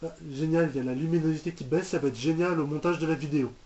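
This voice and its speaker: A young man with a high voice reacts casually to what he is watching. He speaks haltingly, feeling for his words, in an incredulous tone. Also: fairly even intonation, highs cut off, background noise. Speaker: reaction video host.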